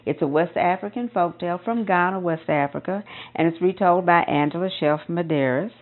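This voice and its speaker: A woman reading a children's picture book aloud in an expressive storytelling style, with emphasis on repeated phrases. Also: lowish voice, a little fast, phone-quality sound. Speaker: storybook reader.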